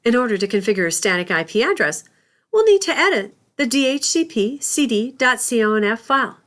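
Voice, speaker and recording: High-pitched woman narrating a tutorial. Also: big pitch swings, brisk, a bit bright. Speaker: instructor.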